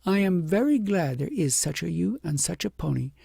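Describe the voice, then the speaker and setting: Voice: medium pitch, big pitch swings, moderate pace, vocal fry, warm tone, breathy edge, no room sound, clean studio sound, pitched above the performer's own voice, exaggerated voice. A reader voicing a young princess, humbled, earnest and full of feeling, in a storybook. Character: remorseful young princess, emotional, humbled and adoring.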